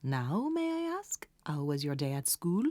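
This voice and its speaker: Kind housekeeper type, gentle, voice goes up and down lots, dry studio sound. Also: female voice, sits low, sing-song, unhurried, no room sound. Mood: gentle concern. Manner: gentle, coaxing, sprinkles in French. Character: patient, kindly French household woman. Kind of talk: children's audio drama acting.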